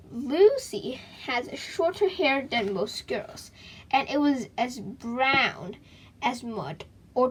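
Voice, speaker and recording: A woman reading a children's book aloud in an expressive, dramatic way, with chatty asides to her young listeners. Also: sits high, slight background noise.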